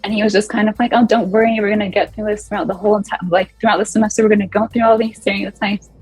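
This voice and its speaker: A woman's voice: medium pitch, level tone, racing, a little mellow, slightly rough, no room sound, soft background music. A young woman telling a personal story as a panelist, with heavy use of "like.". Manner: chatty, filler-heavy storytelling with "like". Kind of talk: online panel discussion.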